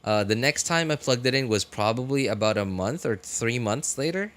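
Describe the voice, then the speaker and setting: A man's voice: medium pitch, animated, moderate pace, fairly bright tone. A man chatting casually as a live streamer, rambling through his reasoning and often checking in with short questions. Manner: conversational, argues points with frequent check-in questions. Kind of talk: casual rambling livestream chat. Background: music.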